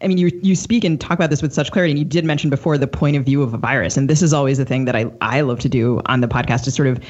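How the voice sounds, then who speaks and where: A woman with a noticeably husky voice interviews as a podcast co-host. Her talk is casual but thoughtful, earnest and discursive, often halting as she forms a point. The recording is a little dull and lo-fi. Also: extremely low pitch, darkish tone.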